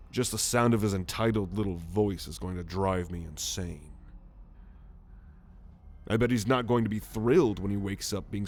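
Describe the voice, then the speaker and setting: A man's voice: fairly low pitch, darkish tone. A man playing a grumpy, cynical, world-weary alien spaceship crewman who grumbles sardonically in an ASMR roleplay audio drama.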